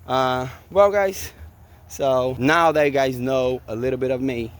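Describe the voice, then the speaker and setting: A man in his forties from Brazil, with a Brazilian Portuguese accent and Portuguese as his first language, vlogging casually outdoors after a jog, halting and searching for words with many fillers. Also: medium pitch, fairly even intonation, clear, resonant tone. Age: 40s. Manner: just back from a jog. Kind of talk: casual vlog to camera. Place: outdoors in a park.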